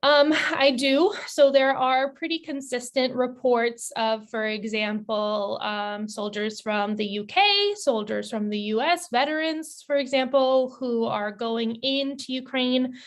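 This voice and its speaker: A woman answering audience questions as a presenter, in a measured, explanatory, careful way with hedges. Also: high voice, steady tone. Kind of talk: informative Q&A talk, semi-formal.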